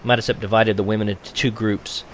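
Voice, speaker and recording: A man reading a novel aloud as a teacher would for his class, in an expressive audiobook style. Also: on the low side, fast talker, a little hiss or hum.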